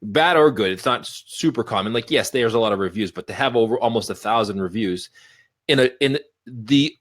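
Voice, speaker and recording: A man hosting a casual interview, firing off quick, eager questions and reactions. His voice sits at an average pitch for a man, and he talks fast. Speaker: show host.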